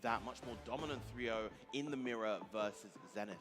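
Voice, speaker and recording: A man's voice: mid-range voice, brisk. A man giving live fighting game commentary and analysis, articulate and emphatic, with rather formal phrasing. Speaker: esports tournament commentator. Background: clear background music.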